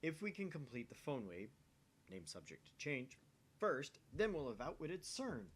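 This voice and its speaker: Man acting out boastful young male mad scientist, excited, declarative, swooping pitch, visual novel reading, some noise. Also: measured pace. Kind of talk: visual novel dialogue read aloud.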